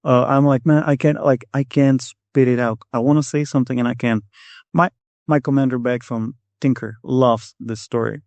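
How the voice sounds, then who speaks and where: Man, Czech accent, middle-aged, rambling animated storyteller, dry close sound. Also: mid-range voice, moderate pace, no echo, lo-fi sound. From Czech Republic. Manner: rambling, animated, acts out quotes. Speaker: guest, Air Force master sergeant. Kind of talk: casual storytelling interview.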